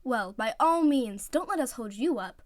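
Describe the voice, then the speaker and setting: A woman's voice: a little high, expressive, moderate pace, close to an everyday voice. Woman putting on a sly, teasing teenage boy.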